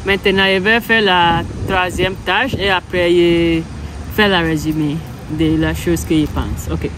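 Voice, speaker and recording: Slow, halting woman learner. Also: lowish voice. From Ghana. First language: English. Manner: hesitant, halting, self-correcting. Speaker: French learner. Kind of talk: practice speaking exercise. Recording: steady background hum.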